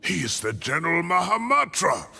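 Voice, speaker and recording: An actor playing a protective, defiant old professor in a video game, with a gravelly voice and an emphatic manner. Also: male voice, a little high, very animated, sharp tone, full character voice. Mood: defiant, urgent. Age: older. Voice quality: gravelly, creaky. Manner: slow, emphatic, pleading. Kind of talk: dramatic video game acting.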